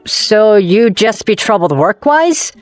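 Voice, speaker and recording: A woman's voice: mid-range voice, big pitch swings, slow pace, dry recording, soft background music. A woman voicing a young male office worker and ex-boyfriend in a manga dub, introspective and wryly self-deprecating, narrating and talking in character. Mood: probing, guarded.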